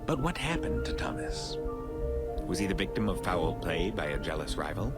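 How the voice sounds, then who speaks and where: Melodramatic mystery doc narrator, low voice, very swoopy delivery, game cutscene. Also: male voice, very animated, normal speed, over loud music. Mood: suspenseful. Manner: melodramatic, suspenseful, rhetorical questions. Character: dramatic male narrator of a mystery TV show. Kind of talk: scripted documentary-style mystery narration.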